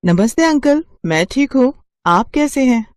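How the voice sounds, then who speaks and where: A cheerful, friendly young woman chats politely. Her pitch moves a lot. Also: expressive, measured pace, darkish tone, no echo, studio quality.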